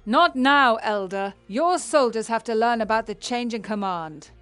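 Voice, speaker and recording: High-voiced warrior commander. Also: female voice, lively intonation, measured pace, a bit bright, smooth, soft background music. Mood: curt. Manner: declamatory, assertive. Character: proud, headstrong female warrior commander. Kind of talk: dramatic video game acting.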